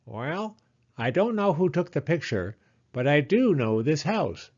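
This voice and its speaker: A man's voice: fairly high pitch, sing-song, unhurried, darkish tone, lo-fi sound, voice pushed up. A man voicing a fussy, chattering female hen who collects treasures. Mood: chatty.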